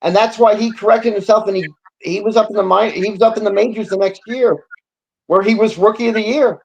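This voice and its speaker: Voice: medium pitch, fairly even intonation, a little fast, creaky, dull audio. A person in a casual baseball talk show discussion, agreeable and reflective, repeating points for emphasis, on a noisy recording.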